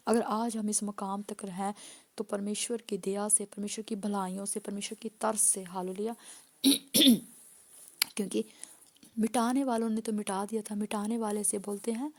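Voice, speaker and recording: A woman pastor preaching a sermon with a hoarse voice, driving points home through repeated phrases and calls of hallelujah. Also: mid-range voice, darkish tone.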